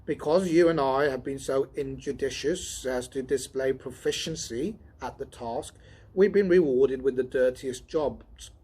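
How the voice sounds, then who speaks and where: A man voicing a cool, sardonic male roboticist in a storybook reading, speaking in an even, dry, cold way. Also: medium pitch, unhurried, husky. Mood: stern, measured.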